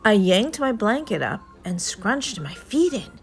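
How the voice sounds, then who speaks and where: A woman reading a children's storybook aloud as an expressive narrator. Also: mid-range voice, measured pace. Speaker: story reader, channel host. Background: music and sound effects.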